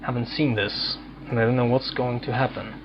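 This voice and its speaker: A man's voice: medium pitch, fairly even intonation, a little fast, muffled recording, background noise. Man, gaming host, casual commentary, musing, hesitant, mid-range voice, noticeable background game ambience. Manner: musing, hesitant thinking aloud. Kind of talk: casual let's play commentary.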